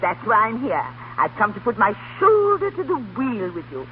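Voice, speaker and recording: An actress playing a meddlesome, effusive older aunt who treats life as a stage, in 1930s radio drama style. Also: female voice, fairly high pitch, wildly swooping pitch, moderate pace. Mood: grand, bossy. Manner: grand, effusive, theatrical. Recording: tinny, noisy 1930s radio broadcast.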